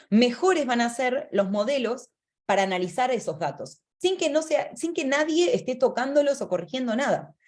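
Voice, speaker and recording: A woman's voice: a little high, a little mellow. An Argentine woman presenting a webinar talk over a video call. She explains ideas at length with fillers and self-corrections. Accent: Argentine Spanish. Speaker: webinar speaker.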